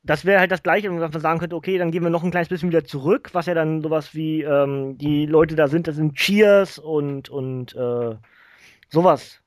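High-voiced man in casual podcast chat. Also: fairly even intonation, moderate pace, no room sound, clean studio sound. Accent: German. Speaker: podcast co-host.

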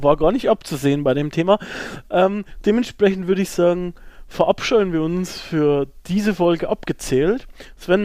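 A man's voice: sits high, a little mellow, no room sound, studio quality. A man co-hosting a podcast in informal chat, speaking in short bursts with joking asides. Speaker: podcast co-host.

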